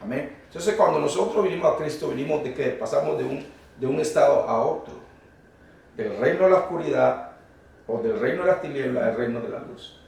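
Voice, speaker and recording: A man's voice: mid-range voice, a little fast, creaky, a little mellow, recorded from a distance. A man from Colombia preaching a fervent, emphatic sermon to a congregation that answers back, caught by a room microphone in a church hall. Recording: very echoey hall, voice from afar.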